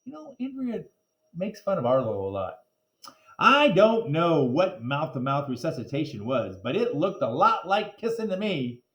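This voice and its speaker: Animated man reading aloud, echoey room. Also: a little high, normal speed. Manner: explains words simply to young listeners. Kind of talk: animated children's storybook read-aloud with casual asides. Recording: echoey, roomy sound.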